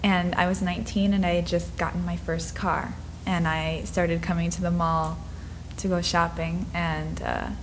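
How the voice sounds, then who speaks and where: A woman in her twenties from Minnesota, telling her life story in an interview, earnest and reflective, sometimes dropping to soft breathy asides. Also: fairly low pitch, little pitch movement, moderate pace, few pauses, slight background noise. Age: 20s.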